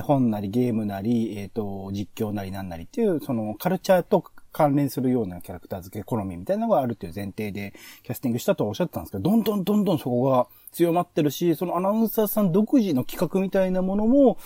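A man hosting a podcast in casual, analytical chat, often chiming in with quick agreement. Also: mid-range voice, dark tone, no room sound. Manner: frequent agreeing backchannels. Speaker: podcast host reviewing radio shows.

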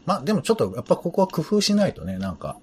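A man's voice: fairly high pitch, wildly swooping pitch, very fast, a little hiss or hum. A man co-hosting a radio show in casual, reflective chat, a little self-deprecating.

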